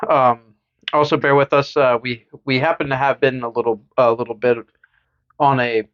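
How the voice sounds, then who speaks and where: A man's voice: medium pitch, fairly even intonation, normal speed, dull audio. Playful young man co-hosting a podcast. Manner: upbeat, laughs often, playful asides. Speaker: podcast co-host. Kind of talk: casual chat.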